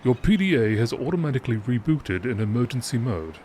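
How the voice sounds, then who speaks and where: A man's voice: mid-range voice, brisk. A man reading game text aloud as scripted narration in a nature documentary style. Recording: steady background noise.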